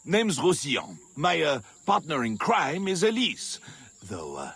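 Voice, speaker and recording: Raspy, roguish old smuggler. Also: male voice, fairly high pitch, huge pitch swings, unhurried, fairly bright tone, dry recording, heavily acted. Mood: roguish, amused. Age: older. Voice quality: raspy, gravelly. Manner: hearty, teasing. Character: roguish, jovial older male swamp smuggler.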